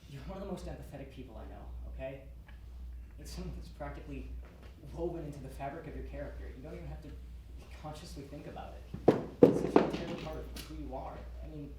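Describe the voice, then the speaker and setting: Supportive husband played by a man, earnest stage drama delivery, distant mic with clear background noise. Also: on the high side, close to an everyday voice. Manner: earnest, conversational, reassuring. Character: supportive, reassuring, joking young husband. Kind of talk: stage play acting. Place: stage in a hall, recorded from afar. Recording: very echoey hall, distant mic, loud noise.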